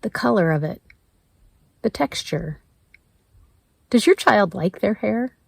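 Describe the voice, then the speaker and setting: A woman leading a guided meditation in a gentle, measured way, leaving pauses between her prompts. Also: medium pitch, expressive, creaky, breathy edge, no room sound, studio quality. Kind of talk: slow guided meditation narration.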